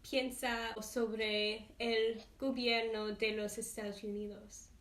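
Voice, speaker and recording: Very slow-speaking woman interviewer, echoey. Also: a little high, little pitch movement, a bit bright. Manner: formal questions with hesitant fillers. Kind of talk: interview questions.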